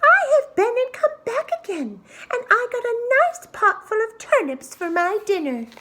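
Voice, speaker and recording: A woman's voice: very high voice, very animated, moderate pace, bright, forceful tone, pitched above the performer's own voice, full character voice. A woman voicing a small, cheeky, clever pig in a children's storybook, chirpy and boastful in a singsong way. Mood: gleeful, boastful. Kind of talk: children's storybook read-aloud.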